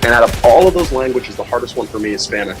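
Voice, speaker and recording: A man's voice: medium pitch, lively intonation, quick pace. A man chatting casually on a livestream, explaining things in an informal, hesitant, rambling way. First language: Somali. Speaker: livestream host. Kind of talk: casual chat, explaining language learning. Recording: music behind the voice.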